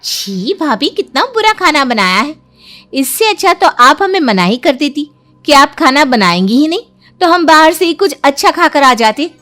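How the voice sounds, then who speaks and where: A scheming young woman from a moral-story cartoon, acted by a woman, speaks in a high, pointed voice. She is taunting and bossy, needling her family with spite. Music plays underneath. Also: big pitch swings, normal speed, sharp tone, full character voice. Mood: complaining, taunting. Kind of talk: animated Hindi moral story dialogue.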